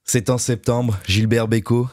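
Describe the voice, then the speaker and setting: A man's voice: mid-range voice, fairly even intonation, a little slow. A man hosting a radio interview, asking long, self-correcting questions. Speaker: radio host.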